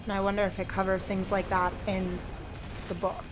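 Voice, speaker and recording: Woman, middle pitch, losing her voice, hesitant casual chat, noisy recording. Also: monotone, normal speed. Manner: thinking aloud, hesitant with fillers. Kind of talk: casual chat over gameplay.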